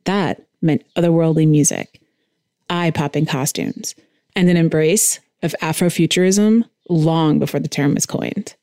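A woman's voice: deep voice, mellow, no echo, clean studio sound. A woman hosting a podcast, reading a scripted documentary-style narration. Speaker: podcast host.

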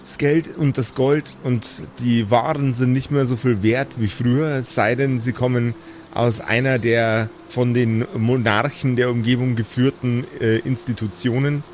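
A man's voice: medium pitch, fairly even intonation, measured pace, darkish tone, a little hiss or hum. A man improvising narration as game master of a tabletop game, with a sardonic manner.

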